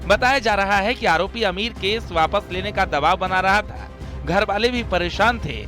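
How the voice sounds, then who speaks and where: A man voicing a news report as a reporter, with measured, grave narration. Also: high-pitched, music in the background. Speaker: field reporter. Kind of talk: scripted news voice-over.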